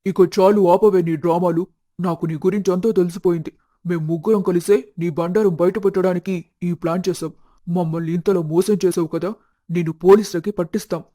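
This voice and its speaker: A man voicing an earnest young suitor with a dramatic way of speaking in an animated moral story. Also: sits high, a little fast, dry recording, studio quality. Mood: stern. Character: young suitor, earnest and devoted, later stern.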